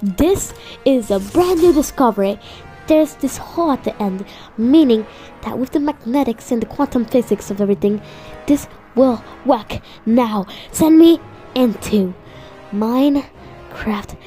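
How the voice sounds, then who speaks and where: Female performer as obsessed, irritable stick-figure inventor, comedic fan dub; slow muttering, swearing, mellow tone. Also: a little high, expressive. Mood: excited, muttering. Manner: muttering, profane. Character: frustrated, obsessive cartoon stick-figure inventor desperate for revenge. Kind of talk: improvised comedic fan voiceover of an animation. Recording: music playing behind the voice. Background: background music.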